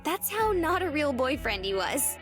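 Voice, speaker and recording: Young romantic lead, nervous and teasing, visual novel, very high swooping voice over clear music. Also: female voice, sing-song, normal speed. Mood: chatty, candid. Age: young adult. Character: Marina, nervous, chatty, teasing young woman in love. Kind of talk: visual novel game voice acting. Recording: music playing behind the voice.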